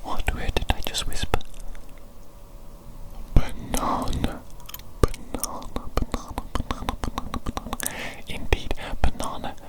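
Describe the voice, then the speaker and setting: A woman voicing an evil, doting mad doctor in a breathy ASMR whisper, with formal, repetitive phrasing. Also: lightly acted. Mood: pleased, instructive. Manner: slow, repetitive, formal old-fashioned phrasing. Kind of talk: whispered ASMR medical roleplay.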